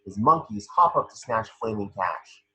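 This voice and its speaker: Husky, bright-edged man reading stage directions. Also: on the low side, animated, normal speed, rough recording. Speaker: scene description reader. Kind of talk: reading stage directions at an amateur table read.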